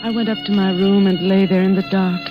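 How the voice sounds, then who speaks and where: Actress as an anxious 1940s radio thriller wife. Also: female voice, mid-range voice, level tone, fairly quick, lightly acted. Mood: hushed narration. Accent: American. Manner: hesitant, guarded, dramatic. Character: anxious boarding-house wife hiding her fears, thriller narrator. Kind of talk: 1940s American radio drama acting. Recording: vintage radio recording with music.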